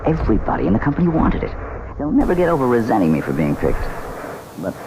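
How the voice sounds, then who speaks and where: A charming, evasive new husband. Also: male voice, medium pitch, huge pitch swings, fast talker, background noise. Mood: breezy. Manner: breezy, charming. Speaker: actor in radio drama. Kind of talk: 1970s radio drama acting.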